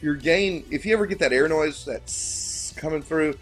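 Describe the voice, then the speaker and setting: A man's voice: fairly high pitch, moderate pace, soft background music, low-quality recording. A man with a big voice co-hosting a casual podcast chat about church media, explaining technical points straight to listeners. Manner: instructive, addresses listeners directly.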